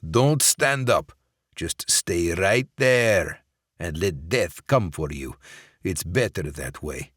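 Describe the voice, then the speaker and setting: A man voicing a cold, menacing Russian crime boss who gives slow, commanding threats. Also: low-pitched, expressive, a bit bright, no room sound, studio quality, heavily acted.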